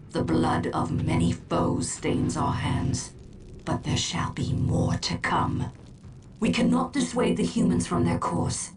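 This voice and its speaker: Slow, solemn alien seer. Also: female voice, fairly low pitch. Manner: measured, grave, ceremonial. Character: solemn, regal female alien Eldar seer. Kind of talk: dramatic game cutscene acting.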